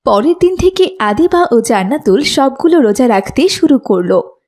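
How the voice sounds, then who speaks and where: Female narrator for children's moral story, notably high and expressive. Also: normal speed, slight background noise.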